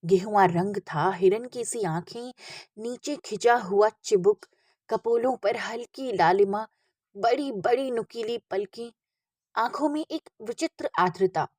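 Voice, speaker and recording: A woman's voice: mid-range voice, a little slow, darkish tone, no room sound, studio quality. A woman reading a literary story aloud as an audiobook narrator, with expression.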